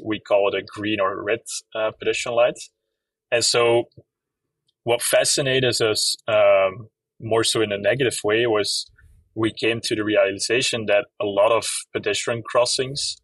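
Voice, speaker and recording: A man's voice: lowish voice, a bit bright, dry recording, recorded from a distance, poor audio. A young man from Belgium with a Flemish accent, explaining his work in a casual interview with many fillers. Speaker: app company co-founder, guest.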